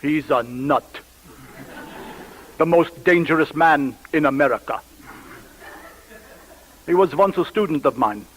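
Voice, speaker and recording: Ominous presidential adviser, noisy recording. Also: male voice, fairly high pitch, fairly bright tone. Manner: deliberate, ominous, obsequious. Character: grave, alarmist, deferential male presidential adviser.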